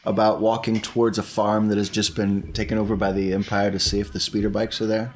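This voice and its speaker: A man's voice: fairly low pitch, flat delivery, a little fast. A man playing a tabletop roleplaying game, talking casually in a dry, matter-of-fact way. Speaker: player.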